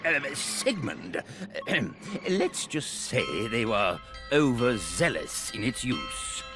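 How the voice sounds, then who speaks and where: A man's voice: medium pitch, very animated, slow pace, music in the background. An actor playing a rambling, genial old male scientist who hosts an instructional recording in a game cutscene, full of fillers and chuckles. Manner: bumbling, chuckling, fussy with fillers. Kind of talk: video game cutscene acting. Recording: game score playing behind.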